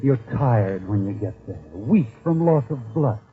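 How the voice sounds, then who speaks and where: A man's voice: medium pitch, expressive, measured pace, lightly acted. A man acting as a sardonic, menacing narrator in a 1940s radio suspense drama, addressing his listener mockingly and dramatically. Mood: grim. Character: sardonic, menacing male narrator taunting a criminal. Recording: tinny 1940s radio recording, music behind. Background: dramatic music.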